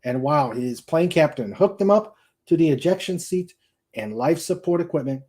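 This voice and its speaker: Mellow-voiced man reading fiction aloud. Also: a little high, expressive, normal speed. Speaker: host reading a novel aloud. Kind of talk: dramatic audiobook-style narration.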